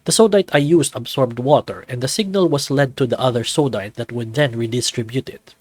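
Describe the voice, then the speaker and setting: A man's voice: mid-range voice, brisk, a little mellow, dry recording, close to an everyday voice. A man playing a jovial male professor with a teasing, lecturing manner in visual novel dialogue. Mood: instructive. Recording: background music under the voice.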